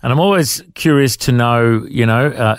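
A man's voice: mid-range voice, normal speed, clear, resonant tone, no room sound, clean studio sound. A man hosting a podcast interview, prompting his guest with encouraging questions. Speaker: podcast host.

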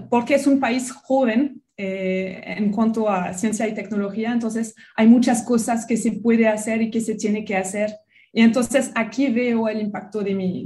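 Mujer con acento francés en entrevista. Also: female voice, a little high, warm tone. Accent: French. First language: French. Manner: friendly, laughs easily, some restarts. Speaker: guest, research biologist. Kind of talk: radio interview.

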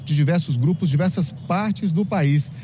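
A man reporting the news in a voice-over, delivering clear, informative narration. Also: a little high, moderate pace, warm tone, a little hiss or hum.

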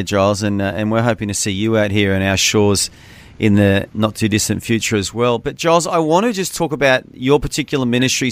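A male host interviewing a guest, encouraging him and spelling things out clearly for listeners. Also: mid-range voice. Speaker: radio host. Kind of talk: interview hosting.

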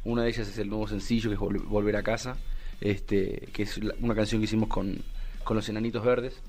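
A man in his thirties from Buenos Aires with a Buenos Aires Argentine accent, a musician answering interview questions in an unhurried way, full of fillers and restarts. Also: fairly low pitch, flat delivery, a little mellow. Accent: Argentine Spanish, Buenos Aires. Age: 30s. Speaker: musician guest.

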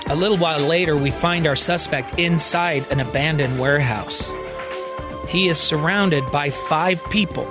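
High-pitched male storyteller over music. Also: moderate pace. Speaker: storyteller, narrator. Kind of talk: scripted narration of a serial audio drama.

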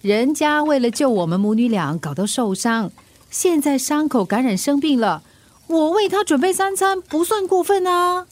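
A young single mother in a radio drama, high and very animated. She is a feisty, defensive woman with a Singaporean accent. She protests in short, snappy bursts, proud and exasperated. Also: moderate pace.

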